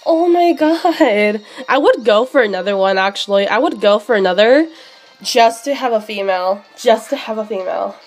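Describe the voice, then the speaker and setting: A young woman streaming a handheld game, sick and losing her voice, chatting excitably with many fillers. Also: fairly high pitch, moderate pace, faint music behind. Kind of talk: live shiny hunting commentary.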